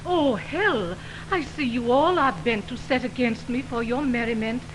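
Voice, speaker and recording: A woman's voice: a little high, normal speed, lightly acted. A woman playing a hurt, indignant young woman who feels mocked, declaiming verse in 1920s radio Shakespeare. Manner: theatrical, declamatory verse delivery. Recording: noisy 1929 radio aircheck, humming.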